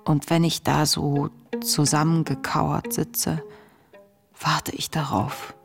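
An actress playing an earnest, introspective young woman reading her wartime diary in a radio play, measured and intimate. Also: sits low, flat delivery, creaky, exaggerated voice. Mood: unhappy. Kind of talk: radio drama diary monologue. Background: music.

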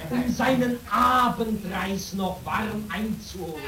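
A woman playing an excitable mountain porter in a radio drama, calling out to comrades in a declamatory way. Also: mid-range voice, slow, over a noisy crowd. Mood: teasing. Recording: echoey, distant radio drama recording, voices behind.

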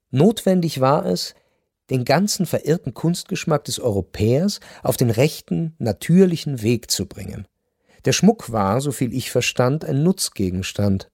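An actor playing a melancholy, estranged husband home from war, narrating a radio drama in a measured, reflective, wry way. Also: male voice, medium pitch, creaky, darkish tone, dry recording, studio quality.

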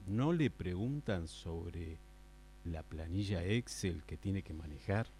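Guy doing an exasperated office boss, argentine, lowish voice, halting and forgetful, radio play. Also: lively intonation, mellow, husky, heavily acted. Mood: doubtful. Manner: hesitant, halting, repeats himself. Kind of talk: improvised radio play acting.

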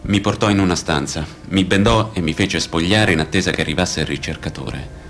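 A solemn low-voiced male witness. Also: brisk, fairly bright tone. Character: grave male survivor and narrator of abuse testimonies. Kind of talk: scripted radio theatre reading.

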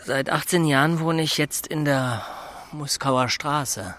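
An actor playing a sober, shy, awkward middle-aged man in an understated, halting radio drama style. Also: fairly high pitch, fairly bright tone, no room sound. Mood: subdued. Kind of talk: German radio drama, understated dialogue and narration.

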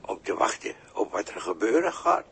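An actor playing a folksy, evasive, callously matter-of-fact bystander in a halting, colloquial 1960s radio drama style. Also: male voice, lowish voice, big pitch swings, gravelly, fairly bright tone, breathy edge, full character voice. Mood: jovial, evasive. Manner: halting, colloquial, with tags and repeats. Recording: noisy early 1960s radio recording.

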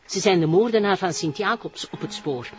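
An actress playing a flustered, chatty older mother talking on the telephone, with a husky voice. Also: lowish voice, moderate pace. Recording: slightly dull sound.

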